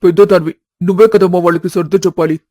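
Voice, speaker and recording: A man's voice: high-pitched, steady tone, fast talker, a little mellow, dry recording, lightly acted. A man voicing an exasperated grown son who chides and reassures his family in a village story. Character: exasperated adult sons keeping family peace.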